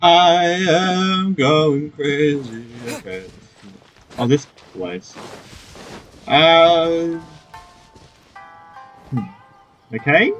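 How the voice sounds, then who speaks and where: A gaming YouTuber with a mid-pitched voice does casual let's play commentary. The delivery is loose and amused, full of muttered reactions over faint game music. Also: drawn-out words, long pauses, a bit bright.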